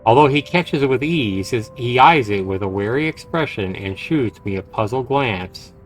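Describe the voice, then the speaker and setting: A man reading a visual novel aloud on a live game stream, with some halting pauses and stumbles. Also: medium pitch, expressive. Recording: game music behind. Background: video game music.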